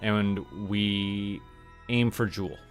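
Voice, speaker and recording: Casting: male game streamer, lowish voice, casual think-aloud commentary, music underneath. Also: flat delivery, extremely slow. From Canada. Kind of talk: casual livestream gameplay commentary.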